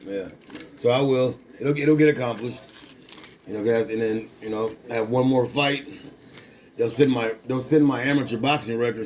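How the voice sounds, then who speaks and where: A man in his forties, a boxer, speaking at an ordinary middle pitch. He rambles in a loose, streetwise way, looping back on himself and restarting his sentences as he talks to a companion. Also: darkish tone, a little hiss or hum, poor audio. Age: 40s. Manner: loose, repetitive, streetwise slang with frequent restarts. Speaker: amateur boxer. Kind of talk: casual rambling talk to a companion.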